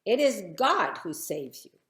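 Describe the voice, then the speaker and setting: A woman's voice: mid-range voice, sing-song, slow pace, a bit bright, roomy sound. A woman voicing a small, brave shepherd boy who speaks up earnestly and boldly in a children's story. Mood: confident proclaiming. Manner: earnest, bold, calling out.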